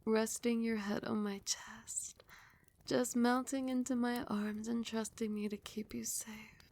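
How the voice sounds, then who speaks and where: A woman voicing a loving, protective vampire queen in an intimate ASMR roleplay, breathy and often sinking into a whisper, soothing and doting. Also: a little high, little pitch movement, measured pace, a little mellow. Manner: doting, soothing, affectionate pet names.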